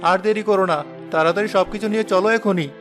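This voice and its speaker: A man plays a poor villager in a cartoon, an earnest family man full of worry. His voice is high for a man and urgent, over clearly audible music. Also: quick pace, close to an everyday voice. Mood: worried. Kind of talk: animated moral story voice acting.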